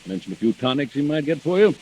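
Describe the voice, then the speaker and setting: A man's voice: a little high, sing-song, fast talker, dark tone, a little hiss or hum, poor audio. A man playing an avuncular family doctor in a 1940s radio drama, soothing and a little dismissive. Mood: affable, casual. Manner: chuckling, soothing, patronizing. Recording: vintage 1940s radio recording.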